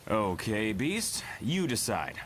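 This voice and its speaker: A man's voice: lowish voice, big pitch swings, takes it slowly, fairly bright tone, a little hiss or hum, heavily acted. A man voicing a wry, casual young student hero in a motion comic.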